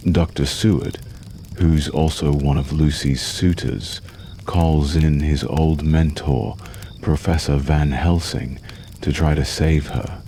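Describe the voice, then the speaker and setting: Guy doing a possessive vampire boyfriend for asmr, super deep and unhurried, tender but menacing. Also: fairly even intonation, dark tone, slight background noise, exaggerated voice. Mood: calm storytelling. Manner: slow, soothing, menacingly tender, lingering pauses. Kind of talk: ASMR roleplay, intimate storytelling.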